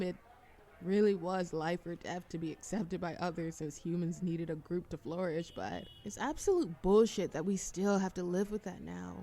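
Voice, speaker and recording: A woman's voice: lowish voice, dark tone, close to an everyday voice. A woman playing a shy, socially anxious young woman in a café audio roleplay, chatting in a hesitant, self-deprecating ramble. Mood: earnest, irritated. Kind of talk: roleplay ASMR audio drama, conversational monologue.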